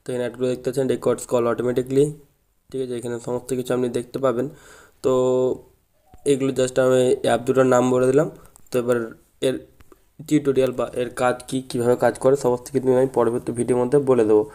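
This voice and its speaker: A man's voice: medium pitch, monotone, fast talker, mellow. A man presenting an informal tech tutorial as a channel host, often tagging statements with a checking question. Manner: repeatedly adds a checking tag to statements.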